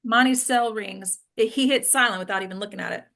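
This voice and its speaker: A woman's voice: on the high side, brisk, gravelly, pushed and bright. A woman reading screenplay action lines as scripted narration at a table read. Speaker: stage directions reader.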